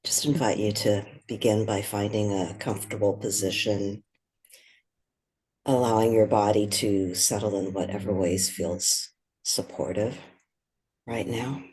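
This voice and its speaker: A meditation guide with a soft, breathy voice, speaking gently and without hurry, leaving long pauses. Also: deep voice, steady tone.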